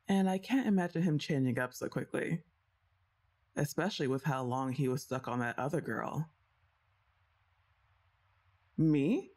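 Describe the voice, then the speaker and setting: A woman's voice: low-pitched, darkish tone. A young woman voicing her best friend's older sister in an ASMR roleplay, chatting and teasing the listener and laughing often. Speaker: voice actress.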